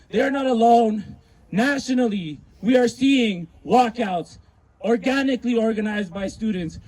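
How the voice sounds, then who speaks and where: High-pitched man rallying a protest crowd. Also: low-quality recording. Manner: loud, rallying, projecting to a crowd. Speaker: rally emcee and chant leader. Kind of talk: impassioned protest rally address. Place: outdoors at a protest. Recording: outdoor rally recording with crowd noise.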